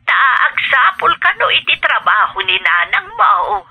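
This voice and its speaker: A woman's voice: sits high, very animated, unhurried, bright, forceful tone, breathy edge, full character voice. A woman acting a protective, grumbling elderly grandmother in an Ilocano radio drama, heard through a telephone filter. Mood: urgent. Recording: tinny band-limited telephone sound.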